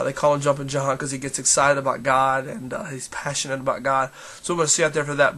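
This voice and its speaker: A man talks to his viewers in a casual, encouraging devotional vlog, his voice hoarse and gravelly. It sits a little high and bright. He keeps restarting and filling gaps as he goes. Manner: earnest, encouraging, with many restarts and fillers. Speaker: church leader giving a daily video devotional.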